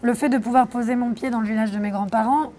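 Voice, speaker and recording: Thoughtful young Frenchwoman, slightly high voice. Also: steady tone, quick pace, warm tone, a little hiss or hum. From France. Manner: reflective, thoughtful, with frequent hesitations. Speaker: documentary filmmaker being interviewed. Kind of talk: interview answers.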